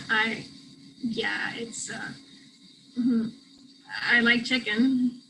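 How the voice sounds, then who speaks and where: A woman reading the part of a shy, awkward young woman on a first date in a play, nervous and halting. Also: on the high side, flat delivery, vocal fry, very noisy, exaggerated voice. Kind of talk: virtual play reading, naturalistic dialogue.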